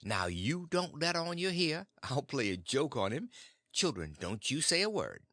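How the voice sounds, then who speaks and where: A man voicing an excitable, fretful farm wife in an audiobook, gushing and breathless. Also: a little high, a little slow, no room sound, clean studio sound, exaggerated voice. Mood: urgent. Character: excitable fussing middle-aged farm wife. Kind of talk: audiobook character voice.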